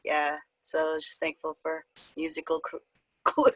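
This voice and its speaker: A woman's voice: sits low, completely flat delivery, slow pace, no echo, low-quality recording. A woman, a theatre performer, chatting casually on an online panel. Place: remote video call.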